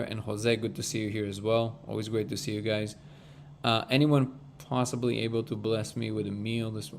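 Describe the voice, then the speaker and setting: A man's voice: mid-range voice, a little hiss or hum. Man from Russia, live-stream host, casual rambling chat, earnest tone, frequent restarts, mid-range voice. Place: Southern California.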